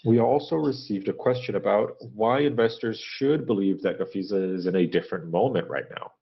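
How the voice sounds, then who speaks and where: A man giving a corporate earnings presentation in a halting, measured business manner, pausing often to hesitate. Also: on the low side, gravelly, husky. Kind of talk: corporate earnings call presentation and question answering, unscripted. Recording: dull, lo-fi conference call audio.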